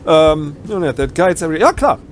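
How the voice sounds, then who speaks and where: A man's voice: a little high, very animated, fast, slight background noise. A man with a German accent doing a let's play, reading game text aloud and adding wry, ironic asides. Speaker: let's player.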